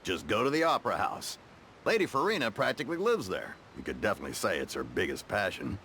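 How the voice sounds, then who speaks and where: A man's voice: on the low side, big pitch swings, fast talker, sharp tone, a little hiss or hum, exaggerated voice. An actor playing a friendly, courteous, chatty townsman in scripted video game dialogue. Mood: helpful, amused. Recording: music behind.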